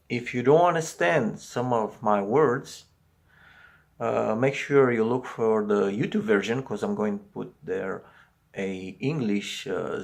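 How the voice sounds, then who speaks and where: Romanian guy talking english, slow and halting, gravelly lowish voice. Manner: halting, searching for words. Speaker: video commentator. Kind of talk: solo video commentary.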